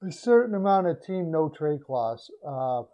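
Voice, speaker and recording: Hoarse man on a low-energy stream. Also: very animated, measured pace. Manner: low-energy, trailing off, hesitant restarts. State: hoarse, losing his voice. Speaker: live stream host. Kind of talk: casual hockey chat with viewers. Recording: dull lo-fi stream audio.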